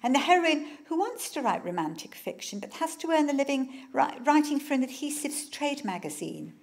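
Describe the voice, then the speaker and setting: Gravelly-voiced woman author reading aloud. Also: high voice, normal speed. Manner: expressive reading, lively narration. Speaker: author, keynote speaker. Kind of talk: reading from her novels at a conference. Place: lecture hall. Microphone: lectern microphone.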